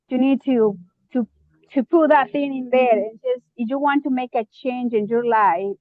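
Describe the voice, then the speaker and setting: A woman from Mexico with a Mexican Spanish accent, a real estate investor talking casually on a video call, often pausing and searching for words. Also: sits high, no room sound, narrow, tinny audio. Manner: halting, searching for words, many fillers. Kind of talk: casual online meeting chat.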